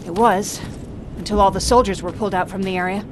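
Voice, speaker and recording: A woman's voice: mid-range voice, fairly even intonation, fast talker, close to an everyday voice. Worried young woman survivor played by an actress, noisy ambience. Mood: sober. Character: worried, determined young woman survivor, protective big sister. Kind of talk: video game cutscene acting.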